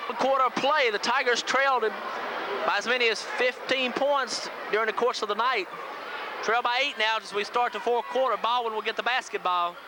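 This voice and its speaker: Male radio sports announcer, very high voice, clipped basketball play-by-play, noisy old local broadcast with a clear crowd behind. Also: brisk. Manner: clipped running call in short phrases. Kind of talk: live high school basketball play-by-play commentary. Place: school gym during a game. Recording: noisy 1980s local radio audio from VHS. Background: loud gym crowd and noise.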